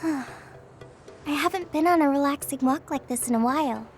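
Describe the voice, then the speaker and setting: A young female soldier from an anime game, with a high voice over background music. She sounds outgoing and casual, friendly with a slightly coy, teasing edge. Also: normal speed. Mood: friendly, relaxed.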